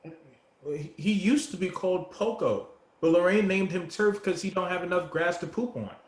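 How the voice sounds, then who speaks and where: A man playing an easygoing, good-natured homeless man in a play reading, gentle and appreciative, with folksy street talk, recorded in an echoey room. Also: sits high, normal speed, lightly acted. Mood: defensive. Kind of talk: dramatic table reading of a stage play. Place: indoor room with echo, virtual reading.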